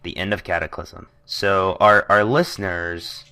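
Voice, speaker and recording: A man's voice: low voice, fairly even intonation, unhurried, sharp tone, clear, resonant tone. A young man co-hosting a casual podcast, hesitant and full of ums, with dry jokes. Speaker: podcast co-host. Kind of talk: casual chat.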